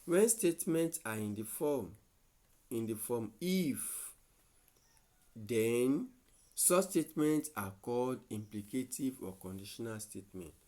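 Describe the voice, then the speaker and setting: A man teaching a lesson to students, deliberate and repeating statements for emphasis. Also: mid-range voice, expressive, mellow. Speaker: mathematics teacher.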